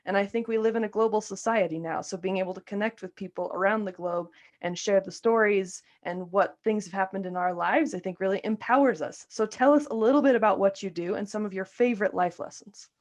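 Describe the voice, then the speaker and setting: Upbeat American woman hosting a chat. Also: medium pitch. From New Mexico, US. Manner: upbeat, enthusiastic, chatty. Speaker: host, coach. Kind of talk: casual interview.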